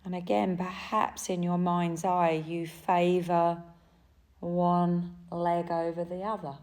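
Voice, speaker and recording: A woman with a low, soft-edged voice guides a deep relaxation practice. She speaks very slowly and gently, leaving space between short phrases. Her tone is dark and mellow, soothing the listener through each step. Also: flat delivery. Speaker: yoga nidra guide.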